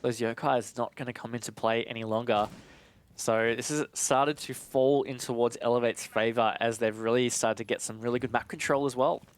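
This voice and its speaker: A man's voice: medium pitch, fairly even intonation, a little fast, slight background noise. A man giving analytical live esports commentary in a conversational way with casual asides.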